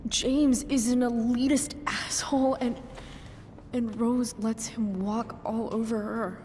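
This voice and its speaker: A young woman playing an earnest, grieving teenage girl, blunt, halting and sincere, in a dramatic video game cutscene. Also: on the high side, steady tone, a little mellow, in a large hall, a little hiss or hum. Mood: earnest pleading. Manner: halting, sincere, sometimes profane.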